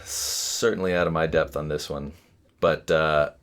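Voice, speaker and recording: A man's voice: a bass voice, steady tone, measured pace, fairly bright tone. A man playing trivia, joking dryly and hesitating with fillers in a casual chat. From Singapore. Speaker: trivia contestant.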